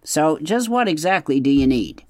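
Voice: medium pitch, big pitch swings, normal speed, darkish tone, no room sound, clean studio sound, voice pushed up, lightly acted. A reader voicing a stubborn, grumbling male beaver and father who mutters and hums while he thinks. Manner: gruff, muttering, hesitant hmms.

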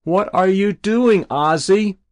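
A man's voice: lowish voice, huge pitch swings, takes it slowly, creaky, a bit bright, no room sound, studio quality, full character voice. A man voicing an anxious, doting mother with a bewildered, pleading manner, in an audiobook story.

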